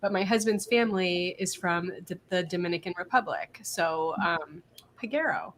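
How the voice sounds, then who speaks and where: A woman's voice: on the low side, moderate pace. Guest: woman, slightly scratchy from a cold, chatty, faint noise bed. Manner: chatty, laughing, many fillers and restarts. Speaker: guest, business coach. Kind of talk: casual live-stream interview.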